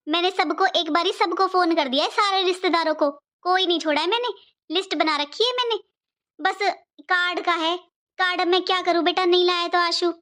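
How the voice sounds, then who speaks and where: A woman's voice: very high-pitched, fairly bright tone. A woman playing a middle-aged mother-in-law in a cartoon family drama, a fretful matriarch who talks in a rambling, repetitive, motherly way.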